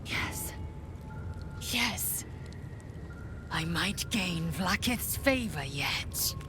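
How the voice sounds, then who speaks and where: A woman's voice: lowish voice, expressive, very slow, music in the background. An actress playing a proud female githyanki warrior, intense and swinging between roars and whispers. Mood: brooding. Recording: game scene with ambient noise.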